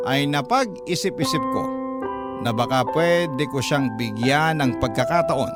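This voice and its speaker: Guy with a highish voice telling a confessional radio drama story, music under it. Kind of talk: first-person scripted storytelling as a lovestruck schoolgirl. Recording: music behind the narration.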